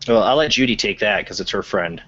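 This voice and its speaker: Fast-talking man with a bright, forceful voice. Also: on the low side. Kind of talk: casual chat. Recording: dull lo-fi audio.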